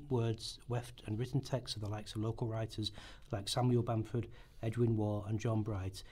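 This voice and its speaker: A man's voice: lowish voice, mellow, husky. A man narrating a historical radio play at a rehearsal, reading from a script and occasionally stumbling over it. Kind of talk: scripted documentary-drama narration, read aloud.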